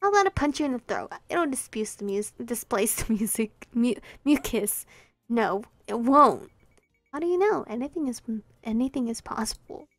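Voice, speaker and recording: A woman's voice: on the high side, expressive, normal speed, dry recording. A woman voicing a cocky teenage girl prankster who teases with mock sincerity. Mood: smug. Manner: smug, goofy, mock-sincere.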